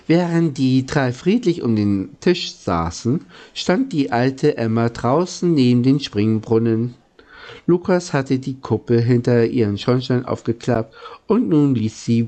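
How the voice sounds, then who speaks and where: A man's voice: medium pitch, dark tone. A man with a sniffly head cold, reading a children's story aloud and now and then stumbling over words. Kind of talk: slow, informal audiobook-style reading.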